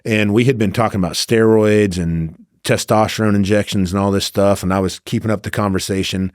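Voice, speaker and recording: A man's voice: fairly low pitch, fairly even intonation, fairly quick, a little mellow, clear, resonant tone, no room sound, studio quality. A middle-aged man telling casual anecdotes as a podcast guest, acting out both sides of conversations. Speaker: podcast guest, former undercover agent.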